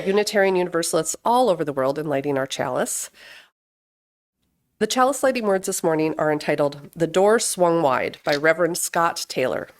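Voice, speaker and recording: A woman leading a worship service, reading prepared words and introductions in a measured, deliberate way. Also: fairly low pitch. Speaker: worship service leader at a Unitarian Universalist fellowship. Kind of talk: scripted church readings and speaker introduction.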